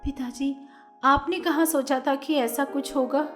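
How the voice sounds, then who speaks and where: A woman voicing a meek, obedient young stepdaughter who pleads softly. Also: high-pitched, fairly even intonation, a little slow, soft background music, voice pushed up. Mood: timid. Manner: timid, pleading, soft.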